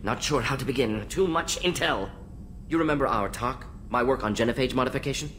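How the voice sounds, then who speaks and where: An actor playing an intense alien scientist in a video game, speaking in brisk, clipped, analytical fragments. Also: male voice, a bit bright, natural voice. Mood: urgent, rapid. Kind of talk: video game character acting. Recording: clear low rumbling background noise.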